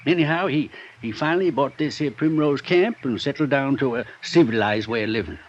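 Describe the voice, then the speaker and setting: An actor playing an old, shrewd prospector who has lived alone in the mountains for decades, talking in a drawling rural dialect, in a 1950s radio drama. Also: male voice, medium pitch, a little fast, close to an everyday voice. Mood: folksy. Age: older. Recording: dull old radio broadcast with faint hiss.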